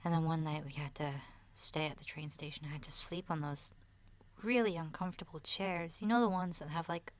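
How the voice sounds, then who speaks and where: A woman voicing a chatty, nurturing child who survived a zombie apocalypse, soft-spoken and partly whispering, in a hesitant, confiding ASMR roleplay monologue. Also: deep voice, steady tone, a little fast, natural voice. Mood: weary, hushed.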